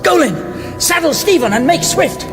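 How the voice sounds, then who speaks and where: Naive young quest hero, highish swoopy voice, polite but declaims everything, echoey with music, radio comedy. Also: male voice, expressive, moderate pace. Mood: excited, heroic. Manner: polite, declamatory mock-heroic. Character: earnest, naive young male fantasy hero, humbly born. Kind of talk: radio comedy fantasy parody acting. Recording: reverberant radio production with music behind.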